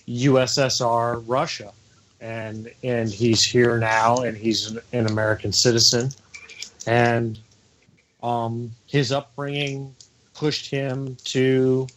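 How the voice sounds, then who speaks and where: A man co-hosts an online radio talk show. He talks slowly and haltingly at a medium pitch, stumbling over repeated words and ums before each point. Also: fairly bright tone, low-quality recording. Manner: halting, many restarts and ums. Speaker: co-host.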